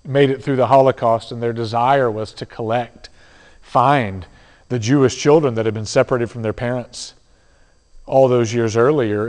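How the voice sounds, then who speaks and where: A man giving a sermon in a teaching manner, with dramatic pauses and soft drops in his voice. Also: mid-range voice. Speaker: preacher. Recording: long hall reverb.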